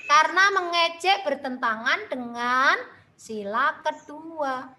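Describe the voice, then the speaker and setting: Slow, high-voiced Javanese woman teacher. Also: sharp tone. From Central Java, Indonesia. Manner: slow, patient, addresses children with tag questions. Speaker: primary school teacher. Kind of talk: online class lesson. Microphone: video call.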